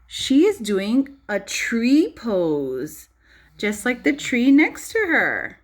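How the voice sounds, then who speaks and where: A woman teacher reading a storybook aloud to young children in an expressive, child-directed way, pausing to explain and ask questions. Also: a little high. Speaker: preschool or early primary teacher.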